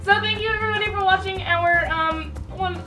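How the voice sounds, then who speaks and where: A woman's voice: very high voice, steady tone, fairly quick. A woman chatting casually and playfully with lots of giggles, in a roomy distant recording with music behind. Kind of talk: casual giggly video chat.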